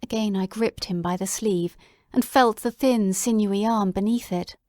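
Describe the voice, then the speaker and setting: A woman giving steady first-person narration for a dramatic audiobook reading. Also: medium pitch, moderate pace, no room sound, clean studio sound.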